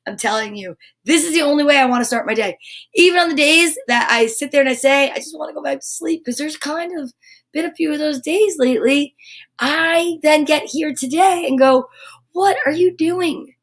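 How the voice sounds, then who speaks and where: Exuberant high-pitched woman hosting kids' story time. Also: moderate pace. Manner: exuberant, emphatic, informal, encouraging. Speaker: host of a children's live story show. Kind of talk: upbeat live chat to viewers, reading picture books aloud.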